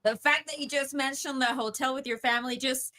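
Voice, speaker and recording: A woman's voice: sits high, steady tone, brisk, sharp tone. A young woman co-hosting a casual podcast, teasing and swearing as she tells stories with animation. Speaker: podcast co-host. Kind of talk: casual livestream chat.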